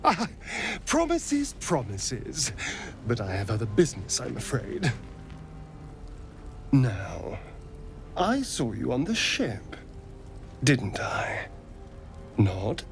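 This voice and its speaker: A man's voice: mid-range voice, wildly swooping pitch, slow pace, gravelly, long pauses, faint music behind, heavily acted. An actor voicing a sly, silky and menacing male rogue in a video game, with an arch, affected delivery. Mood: mocking.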